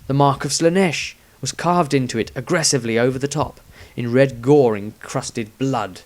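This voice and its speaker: A man's voice: medium pitch, moderate pace. A man reading his own fantasy story aloud as a scripted, dramatic narration.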